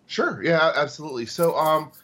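A man in his forties, a guest in an interview, talking in a halting way with many fillers and restarts. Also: fairly high pitch, lively intonation, fairly bright tone. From Tacoma, Washington, US. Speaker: guest, cybersecurity executive. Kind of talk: interview conversation.

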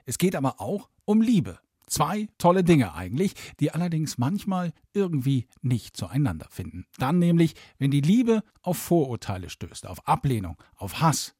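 A man's voice: on the high side, sing-song, moderate pace, darkish tone, breathy edge, no room sound, clean studio sound. A man hosting a radio podcast, asking friendly, curious questions and introducing his guests. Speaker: podcast host. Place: football stadium stands.

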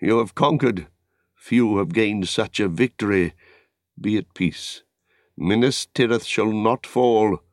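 A man's voice: lowish voice, takes it slowly, no room sound, studio quality. A man reading aloud as a noble, anguished warrior hero in an epic fantasy audiobook, declaiming solemnly in archaic phrases. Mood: grave, sorrowful.

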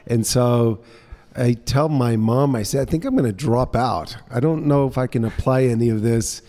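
Man, middle-aged, mellow tone, informal anecdotal interview talk, echoing room. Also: mid-range voice, moderate pace. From Guatemala, England and mostly New Orleans, USA. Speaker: interview guest, social entrepreneur. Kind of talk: conversational personal storytelling. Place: indoor co-working space. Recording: reverberant room with long echo.

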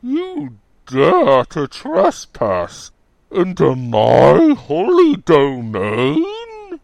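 A towering, wrathful zealot father, roaring out his damnations in a slow, gravelly drawl. His pitch swings wildly as each word is dragged out and thrown like a sermon of hate. It is dry and close, with nothing of the room around it. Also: wildly swooping pitch, no echo, exaggerated voice. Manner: slow, drawn-out preacher-like bellowing.

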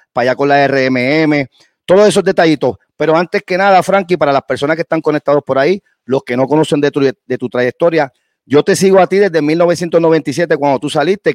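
A man's voice: on the high side, no echo, studio quality. A man, hosting an interview in a chatty, enthusiastic way. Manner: chatty, enthusiastic, hype-building. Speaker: host. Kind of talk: casual interview chat.

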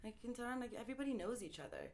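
A woman's voice: fairly high pitch, sing-song, racing, a little mellow. A young woman from Iran, a guest in a casual interview, talking thoughtfully with frequent "um" and "like" and easy laughter. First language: Farsi. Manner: thoughtful, frequent ums and likes, laughs easily.

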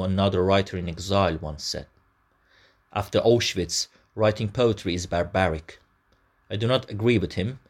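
Man, Farsi first language, very low voice, earnest speaker. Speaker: exiled writer giving a speech. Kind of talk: formal public speech.

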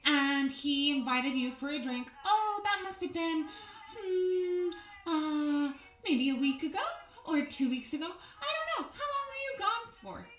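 A woman's voice: sits high, expressive, measured pace, a bit bright. A woman playing a nervous, evasive housewife in an improvised film noir audio drama, hesitant and placating with sugary politeness. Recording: roomy echo, distant mic, music behind.

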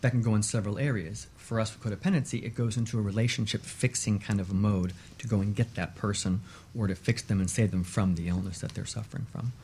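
A man speaking as an expert guest on a radio talk show, explaining in a measured, emphatic way and repeating points for stress. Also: on the low side, steady tone, mellow, a little hiss or hum.

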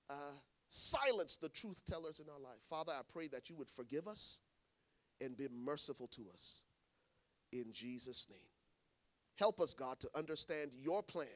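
A man preaching a sermon to a congregation, swinging between hushed lines and shouted ones. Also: a little high. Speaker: pastor. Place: church in front of a congregation.

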